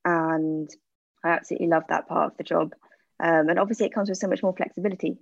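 A woman's voice: fairly low pitch, steady tone, fast talker, gravelly, no echo. A woman, chatting candidly in an interview with frequent fillers. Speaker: interview guest, aesthetic practitioner. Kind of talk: casual interview answers. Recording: dull lo-fi sound.

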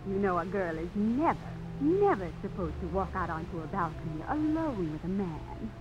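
An actress as a theatrical young society belle. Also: female voice, mid-range voice, sing-song, moderate pace, highs cut off, natural voice. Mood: coquettish, playful. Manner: theatrical, coquettish, haughty. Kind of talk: 1950s radio drama acting. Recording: old radio broadcast with music.

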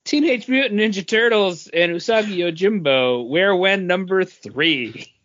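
A man's voice: high voice, animated, measured pace, fairly bright tone, no echo. A man talking casually and conversationally as a co-host on a podcast. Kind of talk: casual chat. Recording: dry, dull lo-fi audio.

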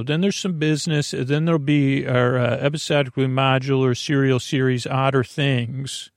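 A man hosting a bedtime podcast in a creaky voice, meandering gently through silly tangents and halting self-corrections. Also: mid-range voice, no room sound, clean studio sound. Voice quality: creaky, gravelly. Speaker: podcast host. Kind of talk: rambling, soothing bedtime monologue.

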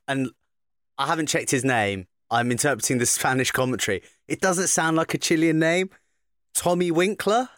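A man's voice: medium pitch, fairly bright tone, dry recording. Upbeat joking guy hosting a rugby podcast, dry sound. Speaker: podcast host. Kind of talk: casual podcast chat.

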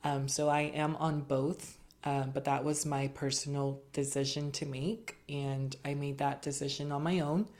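A young woman as an interview guest, talking in an earnest, measured way with many fillers. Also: low-pitched, level tone, darkish tone. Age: young adult. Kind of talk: casual interview chat.

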